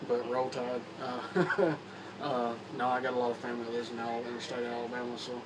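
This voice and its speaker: Hoarse Kentucky man rambling, flat voice. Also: mid-range voice. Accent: Kentucky Southern. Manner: rambling, halting with repeats and fillers. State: slightly hoarse from a cold. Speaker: vlogger. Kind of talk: casual talk to camera. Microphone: phone or camera mic. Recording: distant camera mic with background noise.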